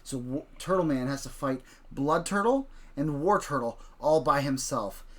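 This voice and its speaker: Woman host, deep voice, easygoing, guides a child's story with questions. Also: lively intonation, normal speed. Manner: prompting, questioning, recapping the child's ideas. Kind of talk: casual livestream storytelling.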